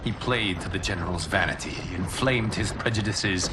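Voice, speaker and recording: An actor playing a calm, wise protector god in a video game, speaking in a measured, formal, solemn way. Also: male voice, deep voice, background noise, lightly acted. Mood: grave. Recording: music behind voice.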